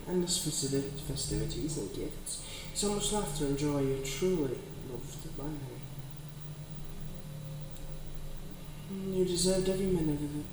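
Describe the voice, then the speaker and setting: A man's voice: on the high side, very echoey, lightly acted. Casting: man voicing a genteel, covetous demon for ASMR roleplay; ornate diction, distant from the mic, noisy ambient recording. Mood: courtly, soothing. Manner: formal, genteel, ornate phrasing. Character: suave, courtly male demon coveting a lonely person's heart. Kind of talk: ASMR roleplay, scripted dramatic monologue.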